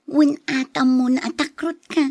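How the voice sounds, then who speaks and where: A concerned older sister in a radio drama. Her voice is fairly high and frank as she advises, recorded dry and close. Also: fairly even intonation, normal speed, a little mellow, no room sound. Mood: advising.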